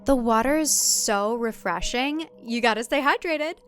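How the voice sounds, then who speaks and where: A woman voicing an eerily cheerful, brainwashed young woman in a cult thriller story, bright and unperturbed. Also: a little high, measured pace, slight background noise, voice pushed up. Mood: cheerful, serene.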